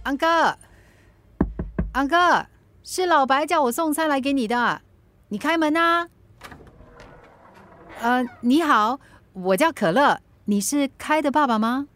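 A woman's voice: high-pitched, lively intonation, takes it slowly, pauses often, fairly bright tone, soft background music, exaggerated voice. A woman acting in a radio drama as a chatty, kind-hearted young woman who fusses politely, with a Singaporean accent. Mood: polite, eager.

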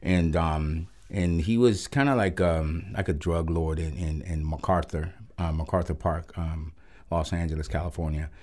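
A man from Rico, a Spanish speaker, giving a halting, reflective personal testimony as a guest pastor on a radio show. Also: low voice, steady tone, darkish tone. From Puerto Rico. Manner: halting and reflective, with frequent pauses.

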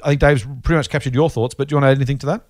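A man's voice: medium pitch, fairly even intonation, racing, darkish tone, no echo, clean studio sound. A man with an Australian accent hosting a podcast, chatty and matey as he talks through listener mail in a casual style. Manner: chatty, addresses others as mate. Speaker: podcast host. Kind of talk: casual listener mailbag discussion.

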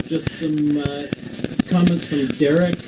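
A man casually relaying questions and comments in a hesitant, halting way, off-mic and quiet. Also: on the high side, level tone. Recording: off-mic, quiet, crackly background noise.

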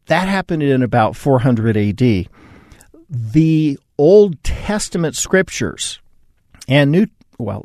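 A man hosting a religious radio segment, explaining scripture in a measured, explanatory way. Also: mid-range voice, darkish tone, dry recording, clean studio sound. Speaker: radio show host.

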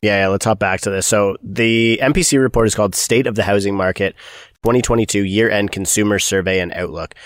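A man co-hosting a podcast about real estate, talking fast and throwing in quick jokes. His voice is very deep. The recording is close and dry. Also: steady tone, dry recording. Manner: quick, talkative, joking. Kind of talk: casual podcast chat.

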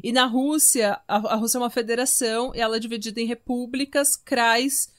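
A woman's voice: a little high, steady tone, fairly quick, fairly bright tone. A woman with a Brazilian Portuguese accent hosting a podcast, telling a true-crime story casually and conversationally with many asides. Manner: informal, conversational, full of asides. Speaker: podcast host.

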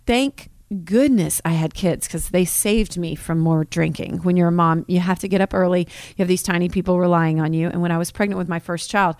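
A woman's voice: on the low side, darkish tone, no echo, clean studio sound. A woman in her forties hosting a podcast, talking candidly and confessionally in a personal monologue. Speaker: podcast host.

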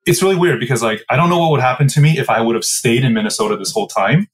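A man's voice: mid-range voice, rapid-fire. Reflective man in his forties. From Midwestern United States. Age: 40s. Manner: reflective, many filler "like"s. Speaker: guest, business co-founder. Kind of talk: casual interview answers.

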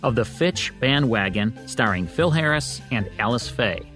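A man's voice: medium pitch, expressive, a little slow, music in the background. A man hosting a radio program, reading a scripted introduction. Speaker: radio show host.

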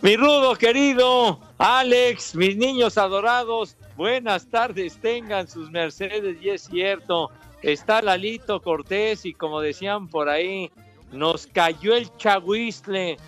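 A man's voice: high voice, a bit bright. A man co-hosting a sports show, full of theatrical, affectionate banter.

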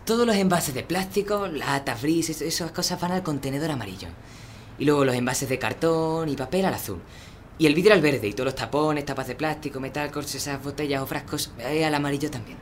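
A man's voice: on the high side, brisk, a little hiss or hum, close to an everyday voice. A man playing an eager young Andalusian student. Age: young adult. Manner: eager, slangy, stammering.

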